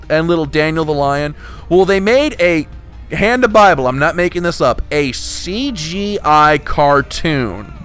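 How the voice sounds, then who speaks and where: A man's voice: fairly high pitch, fairly bright tone. A young man hosting a casual podcast, talking in rambling, restart-heavy stretches with some swearing. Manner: rambling, full of restarts and profanity. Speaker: podcast host.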